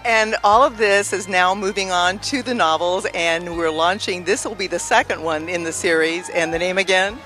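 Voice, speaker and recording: A woman with a bright, forceful voice hosts a chatty interview. She prompts her guests briskly and eagerly, with faint music behind her. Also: on the low side, poor audio. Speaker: interview show host.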